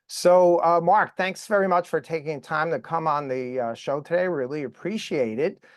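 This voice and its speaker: A man hosting a podcast interview over video call, raspy from a recent cold, enthusiastic and full of fillers. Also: fairly high pitch, dry recording, clean studio sound. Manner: enthusiastic, halting with many fillers. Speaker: podcast host.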